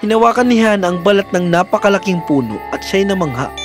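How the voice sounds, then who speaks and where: A man's voice: sits high, animated, fast talker, faint music behind. A man narrating a scripted fairy tale in a dramatic storytelling manner.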